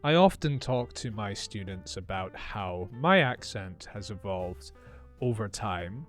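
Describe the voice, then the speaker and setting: Man, mild scottish accent, slow careful lesson talk, faint guitar music behind. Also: on the low side. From northeast Scotland. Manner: calm, careful, deliberate explanation. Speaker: English teacher. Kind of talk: slow, clear teaching monologue.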